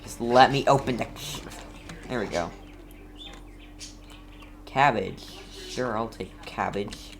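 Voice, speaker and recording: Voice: medium pitch, slow, creaky, long pauses. A streamer giving casual gameplay commentary, playful and mock-scolding, often talking straight to the game. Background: game music.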